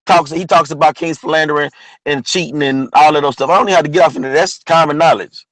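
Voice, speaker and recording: A man with a partly lost, hoarse voice, talking casually about history as host of an online show, often asking "you know what I'm saying?". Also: a little high, quick pace, a bit bright, dry recording. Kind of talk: casual history talk monologue.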